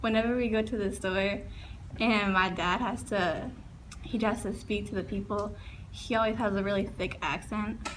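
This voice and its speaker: A woman's voice: medium pitch, fairly even intonation, moderate pace. A young woman from Portland, Oregon, speaking hesitantly in an interview. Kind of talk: interview testimony. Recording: noisy background.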